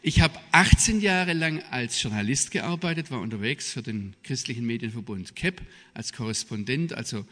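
A middle-aged man with a southwest German accent giving a public lecture in an emphatic, deliberate manner. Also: mid-range voice, lively intonation, a bit bright. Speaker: guest speaker, journalist and theologian. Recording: reverberant hall, long echo.

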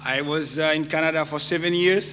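A man from Haiti with a Haitian accent, preaching in short phrases with frequent halting pauses for an interpreter. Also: fairly high pitch, fairly even intonation, a bit bright. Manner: halting, pausing often. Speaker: guest preacher. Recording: noisy echoey hall with hum.

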